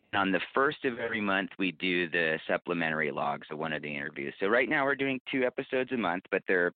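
A man being interviewed as a podcast producer, explaining things thoughtfully and step by step in a conversational way. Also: low voice. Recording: narrow phone-like line, roomy echo.

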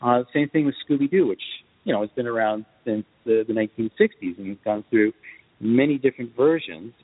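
A man answering interview questions as a guest, speaking in a measured, thoughtful way in short deliberate phrases. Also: mid-range voice. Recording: muffled phone-like line with reverb tail.

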